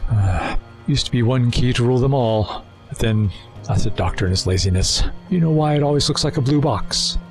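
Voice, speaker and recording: A man's voice: mid-range voice, normal speed, faint music behind, lightly acted. An actor playing a sly, talkative male alien time traveller in an audio drama, with a wry, mocking, chatty manner. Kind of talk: full-cast audio drama acting.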